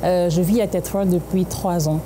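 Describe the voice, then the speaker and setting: A woman's voice: on the low side, steady tone, normal speed. A woman in her twenties from Cameroon with a Cameroonian French accent, a composed guest on a talk show. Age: 20s. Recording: noisy background.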